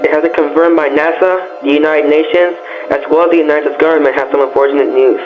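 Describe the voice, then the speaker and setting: A man's voice: a little high, fairly even intonation, fast talker. Dull lo-fi male emergency alert announcer. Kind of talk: scripted emergency broadcast announcement. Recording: dull lo-fi broadcast, loud music behind.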